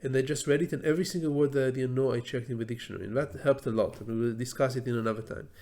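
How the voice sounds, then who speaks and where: A middle-aged man, a native Hebrew speaker from Israel, giving a slow, thoughtful solo talk on learning English, with frequent pauses and fillers. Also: mid-range voice, steady tone, darkish tone. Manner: thoughtful, many fillers and restarts, slow deliberate phrasing. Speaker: law professor teaching English learning tips. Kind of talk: solo educational talk to camera.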